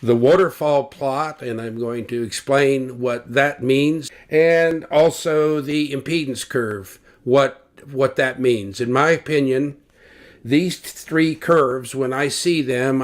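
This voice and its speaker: An older man slowly explaining loudspeaker measurements, talking deliberately with fillers and restarts, recorded at home on a webcam. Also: medium pitch. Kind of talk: technical explanation with drawings. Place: home living room, indoors.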